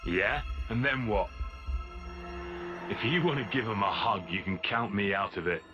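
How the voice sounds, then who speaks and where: A man's voice: lowish voice, moderate pace, lo-fi sound, over music, natural voice. A man playing a sceptical, scornful soldier in a video game, sardonic and challenging. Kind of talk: video game cutscene acting.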